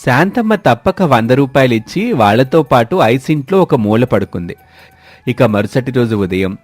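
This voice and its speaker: A man's voice: medium pitch, darkish tone, no room sound. A man narrating a scripted cartoon story as its storyteller.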